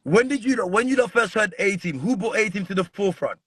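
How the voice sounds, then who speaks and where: Husky, insistent man hosting a podcast. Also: sits high, fast. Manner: animated, repeats questions insistently. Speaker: podcast host. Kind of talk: casual chat.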